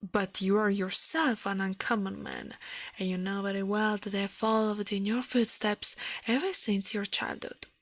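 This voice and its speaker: A woman voicing an elegant, self-assured shadow gentleman from a storybook, formal and boastful, in a soft breathy voice. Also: medium pitch, steady tone, moderate pace, dry recording, lightly acted.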